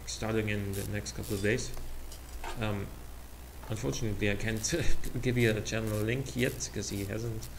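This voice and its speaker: A young Austrian man, German his first language, chats loosely over his gameplay, his voice gravelly and full of ums and restarts. The recording carries a steady hiss underneath. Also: on the low side, moderate pace. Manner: rambling, hesitant, many fillers and restarts. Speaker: let's play host. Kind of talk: casual rambling commentary over gameplay. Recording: noisy home recording.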